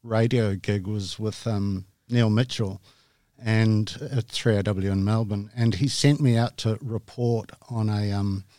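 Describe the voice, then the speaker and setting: A man's voice: lowish voice, fairly even intonation, measured pace, mellow, no room sound, studio quality. A middle-aged man with a New Zealand accent, a podcast guest telling his story in a hesitant, self-deprecating way with many ums. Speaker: guest, media director. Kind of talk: casual interview talk.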